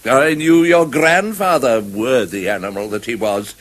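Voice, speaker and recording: An actor playing a grave, disapproving older badger in a 1970s radio drama, ponderous and sententious, with an English accent. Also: male voice, medium pitch, unhurried, close to an everyday voice. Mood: grave, reproachful.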